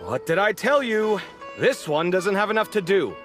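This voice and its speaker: An actor playing an animated, sociable young man who bickers sarcastically with his roommate, in a game cutscene. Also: sits high, normal speed, music in the background. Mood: exasperated. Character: expressive sociable young man architect, easily exasperated. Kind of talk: video game cutscene voice acting.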